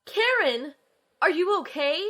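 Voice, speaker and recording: A woman's voice: very high voice, very animated, takes it slowly, fairly bright tone, full character voice. An actress playing an earnest young girl in an audio drama, telling the story in first person and speaking in it. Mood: alarmed. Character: earnest young girl narrating her team's battle.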